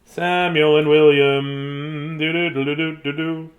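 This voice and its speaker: A man in his fifties reads children's bedtime picture books in an animated, playful, childlike way, with chatty asides. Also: fairly high pitch, slow pace, phone-quality sound. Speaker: children's storyteller. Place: small decorated room indoors.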